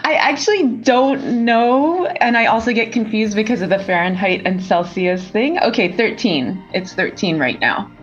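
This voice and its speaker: A woman's voice: medium pitch, lively intonation, moderate pace, few pauses, lo-fi sound, faint music behind. A woman with a Californian American accent, answering interview questions conversationally over a remote call. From San Francisco Bay Area, United States. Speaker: interview guest, startup co-founder and CEO. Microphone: remote video call.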